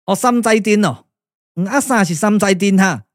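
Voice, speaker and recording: An actor with a Taiwanese accent playing a comic, boastful, loyal sidekick in puppet radio drama, earthy and full of exclamations. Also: male voice, high voice, normal speed, a little hiss or hum, natural voice. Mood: puzzled. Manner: colloquial, exclamatory, drawling.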